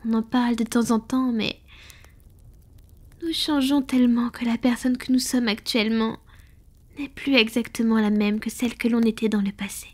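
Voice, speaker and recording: A woman plays a proud, ancient female vampire who is tender with a lover. The voice is slightly high and very breathy, mostly whispered. The delivery is slow and intimate, in the manner of a romantic ASMR roleplay. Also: fairly even intonation, natural voice. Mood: wistful, confiding. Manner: slow, intimate, affectionate murmuring.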